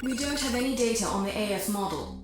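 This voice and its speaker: An actress playing an earnest, formal mission operator who gives measured military briefings in a video game. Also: female voice, fairly high pitch, close to an everyday voice. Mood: grave, urgent. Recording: very echoey room, loud music behind.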